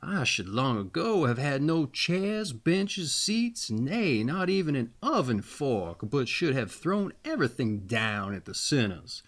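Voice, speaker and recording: A man's voice: medium pitch, very animated, a little slow, hardly any pauses, fairly bright tone, heavily acted. A man voicing a stern male ruler of heaven in a fairy tale, grand, scolding and emphatic.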